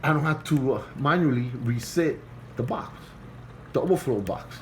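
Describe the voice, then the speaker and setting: A man with a non-native English accent, talking casually at home in a halting, repetitive way while filming an aquarium on his phone. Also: mid-range voice, lively intonation, darkish tone, a little hiss or hum. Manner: halting and repetitive, restarts phrases, earnest and pleading. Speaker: hobbyist vlogger. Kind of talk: casual home video walkthrough. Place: home, beside an aquarium indoors. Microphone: phone held by the speaker while filming.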